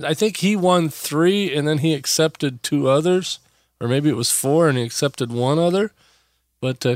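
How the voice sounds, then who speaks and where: A man hosting a podcast in a casual solo chat, a bit hoarse from a voice that is still coming back, rambling with lots of pauses and restarts. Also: fairly high pitch, no echo, clean studio sound. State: hoarse, recovering from lost voice. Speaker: podcast host.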